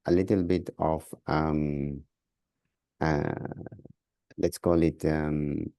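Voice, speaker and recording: A man from Sudan with a Sudanese accent, answering as a podcast guest in a halting way, repeating words as he searches for phrasing. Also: a bass voice, steady tone, mellow, dry recording. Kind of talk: interview answers.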